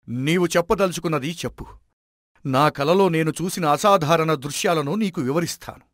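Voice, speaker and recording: A man's voice: on the high side, dry recording, clean studio sound. A man playing an anxious, doubting king who searches for hope, speaking formally and questioningly in a dramatized moral story.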